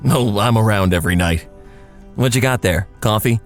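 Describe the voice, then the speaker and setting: A man's voice: fairly low pitch, normal speed, soft background music, close to an everyday voice. An actor playing a laid-back, sardonic radio newsman and disc jockey in an audio drama, with a wry, casual manner. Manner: wry, casual, dry-humored. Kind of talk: naturalistic audio drama acting.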